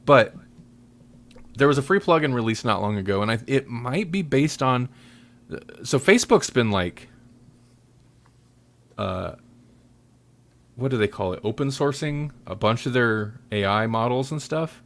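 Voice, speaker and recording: A man's voice: mid-range voice, expressive, unhurried, long pauses, fairly bright tone. A man hosting a podcast in casual chat, rambling loosely as he searches for his words. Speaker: podcast host.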